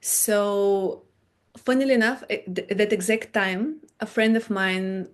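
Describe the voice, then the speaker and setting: A woman in her thirties from Bulgaria, a podcast guest telling her story in a relaxed, soft-spoken way that fades quietly at the ends of phrases. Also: mid-range voice, slow. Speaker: podcast guest, meditation teacher. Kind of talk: casual conversation, storytelling.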